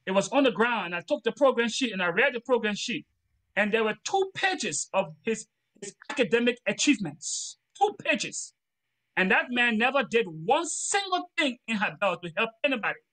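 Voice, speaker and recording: A man's voice: very high-pitched, big pitch swings, normal speed, bright, forceful tone. A middle-aged man from Liberia with a Liberian accent, giving an earnest, preachy motivational talk during a training lesson and repeating his phrases for emphasis.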